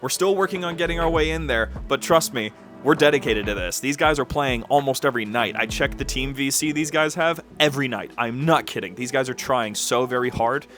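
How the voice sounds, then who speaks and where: A man's voice: mid-range voice, brisk, fairly bright tone. Male host, casual and enthusiastic, chatty update delivery over prominent background music. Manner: enthusiastic, informal. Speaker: host of a gaming community show.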